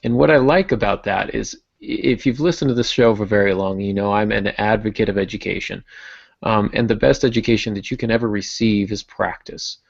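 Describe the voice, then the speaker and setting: A man hosting a podcast in casual discussion, thinking aloud in a halting way. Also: lowish voice, fairly even intonation, creaky, no room sound, highs cut off. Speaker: podcast host.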